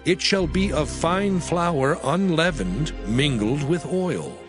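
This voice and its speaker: A man narrating a dramatized audio Bible in a solemn, formal manner with measured phrasing. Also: on the high side, animated. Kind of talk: dramatized scripture reading, scripted narration. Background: background music.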